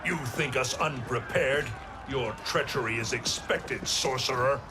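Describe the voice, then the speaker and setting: An actor playing a stern, resolute fighter in a video game, declamatory and scornful, under loud music. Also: male voice, medium pitch, measured pace, lightly acted. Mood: scornful defiance.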